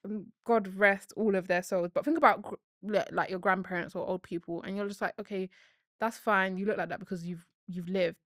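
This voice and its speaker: A woman's voice: mid-range voice, fairly even intonation, brisk, dry recording. A British woman in her twenties speaks as a guest on a casual podcast. She is chatty and laughs a lot. Her voice sits mid-range and is recorded dry and close. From born in Spain, raised in Britain. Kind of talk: casual chat.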